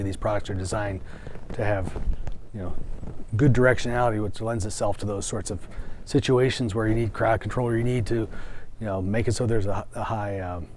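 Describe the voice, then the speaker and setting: A man's voice: on the low side, a little mellow. A man explaining business and products in an interview as a company executive, hesitating and repeating words as he goes. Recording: faint background noise.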